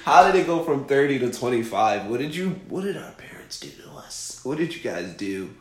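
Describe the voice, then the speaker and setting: A man's voice: mid-range voice, sing-song, moderate pace, echoey room. A man in his twenties chatting casually as a co-host, joking and laughing often. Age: 20s. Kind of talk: casual chat.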